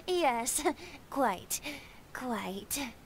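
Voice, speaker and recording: A woman's voice: high voice, big pitch swings, drawn-out words, fairly bright tone, a little hiss or hum, heavily acted. A woman voicing a playful young male traveling bard with theatrical, flowery delivery in a video game. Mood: lofty, playful.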